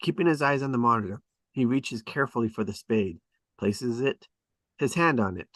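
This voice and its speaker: A man's voice: mid-range voice, normal speed, fairly bright tone, no room sound. A man narrating a script at a table read, reading stage directions dramatically in short, clipped phrases.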